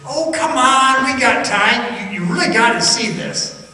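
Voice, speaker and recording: A man's voice: very high voice, lively intonation, measured pace, sharp tone, exaggerated voice. An actor playing a cocky young male miner, slangy and coaxing, in old-time radio theatre style. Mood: eager coaxing. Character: cocky young male miner daring his nervous friend. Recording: echoey distant radio play recording, faint hiss.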